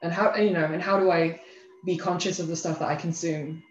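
Casting: young English-accented woman, reflective interview guest, halting conversational flow, echoey and slightly dull recording. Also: on the low side, steady tone, soft background music. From England. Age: young adult. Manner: thoughtful, halting, frequent "you know" and "like". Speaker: interview guest, self-love advocate. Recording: roomy echo, slightly dull lo-fi sound.

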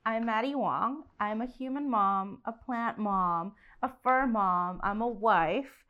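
A woman's voice: medium pitch, takes it slowly, dull audio. A woman from Indonesia co-hosting a podcast, talking candidly in casual chat and laughing easily. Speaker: podcast co-host.

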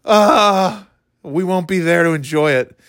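A middle-aged man with a slightly stuffy nose, hosting a rambling solo chat, meandering and breaking off mid-thought, then getting playfully excited. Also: high-pitched, a little slow, dry recording, clean studio sound. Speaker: podcast host.

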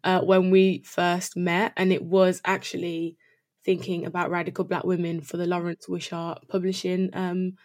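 A woman's voice: fairly low pitch, level tone, moderate pace, darkish tone. A woman hosting a conversational podcast and interviewing a guest, with an effusive, appreciative manner.

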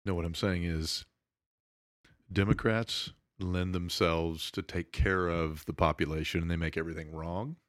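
A man's voice: low-pitched, steady tone, normal speed, no room sound, clean studio sound. A man with a big voice, speaking bluntly and profanely as a guest in casual podcast chat. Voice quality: big, loud voice.

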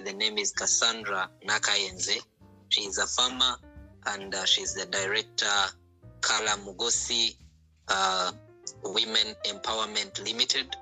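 A man's voice: lowish voice, sharp tone, poor audio. A man hosting an online panel over call audio, polite and hesitant with frequent ums. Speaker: host, panel moderator.

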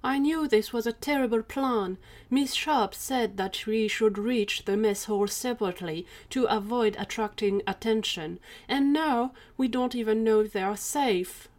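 A woman's voice: fairly high pitch, a little slow, few pauses, lightly acted. A woman voicing a whiny, anxious man as she narrates an audio drama. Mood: whining, worried. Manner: whining.

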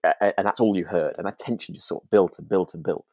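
Male co-host, low voice, casual animated storyteller, close dry recording. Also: fast, dry recording. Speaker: podcast co-host. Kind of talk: casual chat about a radio drama.

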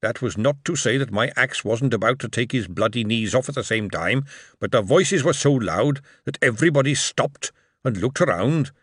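Man voicing an old dwarf cobbler, loud and gruff. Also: mid-range voice, normal speed, no echo, studio quality. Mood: gruff reminiscing. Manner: gruff, telling a war story with rising excitement. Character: old male dwarf cobbler, blunt and earnest.

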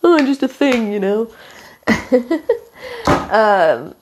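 A young woman hosting a podcast, hoarse and stuffed up from illness, rambling casually with lots of fillers and apologies while walking around her house on a headphone mic. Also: fairly high pitch, very animated, very slow, background noise. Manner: meandering, many fillers and apologies, casual swearing. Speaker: podcast host. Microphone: headphone mic into phone.

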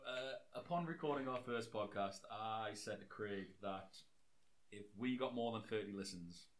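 A young man co-hosting a casual podcast, his voice hoarse and nearly gone, talking excitedly and swearing freely. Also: lowish voice, moderate pace. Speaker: podcast co-host. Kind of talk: casual chat.

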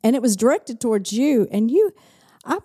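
A woman's voice: on the high side, lively intonation, moderate pace, dark tone, husky. A woman co-hosting a casual podcast with her husband, candid and teasing, laughing easily. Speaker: podcast co-host and executive pastor. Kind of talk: casual married-couple podcast chat.

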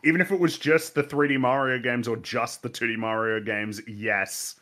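A man's voice: mid-range voice, fairly even intonation, moderate pace, fairly bright tone. A man co-hosting a casual stream chat, speaking mildly and hesitantly with many fillers. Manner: hesitant, lots of fillers, mild. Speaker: co-host.

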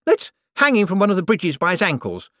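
A man voicing a spoiled, haughty young rich woman who talks in a shrill, bossy, dismissive way. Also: wildly swooping pitch, fairly quick, pushed and bright, no echo, studio quality, heavily acted.

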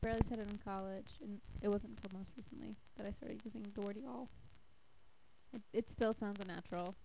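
A young woman from the southern United States chats as a podcast co-host. Her voice sits at a middle pitch and her delivery is conversational. There is faint noise in the amateur recording. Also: steady tone, fairly quick, creaky, dark tone, low-quality recording. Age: young adult. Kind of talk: casual chat.

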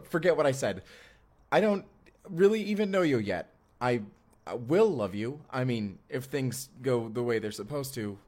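A man's voice: mid-range voice, darkish tone, close to an everyday voice. A young man acting a goofy, affectionate husband from the future in an intimate audio drama, speaking casually and hesitantly straight to the listener. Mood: tender, flustered. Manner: casual, hesitant, sighing, talks directly to listener. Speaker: actor in audio roleplay. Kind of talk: first-person immersive audio roleplay, intimate conversational acting.

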